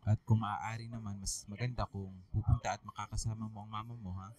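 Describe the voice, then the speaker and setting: A man playing a concerned, composed high school teacher in a Filipino radio drama. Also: level tone, fairly quick, a little mellow, close to an everyday voice. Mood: businesslike.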